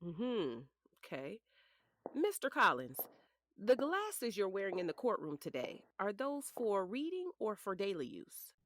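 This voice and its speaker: Female defense lawyer, played by an actress, shrewd and pointed, expressive pitch, dry studio sound, courtroom drama. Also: unhurried, no echo. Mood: probing, sly. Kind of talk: audio drama acting, courtroom questioning.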